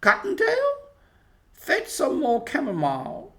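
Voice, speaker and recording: A man voicing an old mother rabbit from a children's storybook, calling out loudly. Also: very high-pitched, huge pitch swings, slow, voice pushed up, full character voice. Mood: urgent calling.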